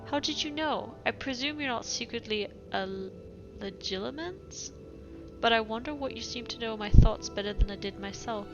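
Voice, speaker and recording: A woman's voice: fairly high pitch, normal speed, muffled recording. Giggly woman streamer, mixed European accent. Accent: mixed European English. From British, raised elsewhere in Europe. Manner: chatty, giggly, many fillers. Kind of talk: casual gaming chat and reading game letters aloud. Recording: music playing behind.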